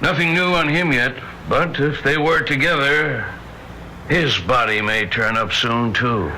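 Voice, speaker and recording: Brisk official, matter-of-fact, hard bright voice, old radio play, faint background noise. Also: male voice, mid-range voice, rough recording. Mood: businesslike, grave. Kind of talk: old-time radio acting.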